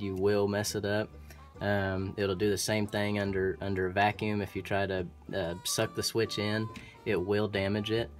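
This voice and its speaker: A man with an Oklahoma accent, an HVAC technician explaining furnace repair in a relaxed, practical way, with frequent ums and restarts. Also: lowish voice. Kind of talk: instructional how-to explanation. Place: indoor utility closet by a furnace.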